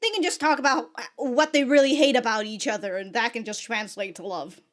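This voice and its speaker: A woman's voice: fairly high pitch, brisk. A woman giving casual, sweary, giggly reaction commentary as she hosts a reaction video. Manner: giggly, often breaking into laughter.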